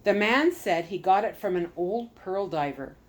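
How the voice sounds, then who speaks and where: Storybook doctor dad, businesslike and steady; a woman reader does him lightly. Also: sits high, normal speed. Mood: earnest. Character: determined father, a scientist on a search.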